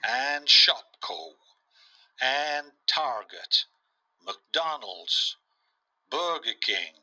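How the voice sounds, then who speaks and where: A man's voice: mid-range voice, sing-song, very slow, bright, forceful tone, exaggerated voice, low-quality recording. A man playing a blunt background-check investigator who delivers bad news in a radio play. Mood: reluctant, dry. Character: blunt male security hiring investigator bearing bad news.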